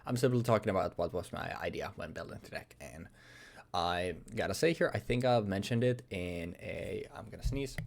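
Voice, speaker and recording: A young man with a hoarse, worn voice from recent illness, casually talking through a card deck in a loose, rambling way full of fillers. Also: low voice, lively intonation, moderate pace. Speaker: card game YouTuber.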